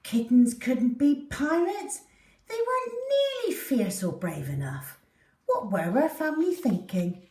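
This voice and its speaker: A woman's voice: high voice, sing-song, measured pace, darkish tone, voice pushed up. Woman voicing an indignant little pirate dog, echoey. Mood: scornful. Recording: echoey room, distant from the mic.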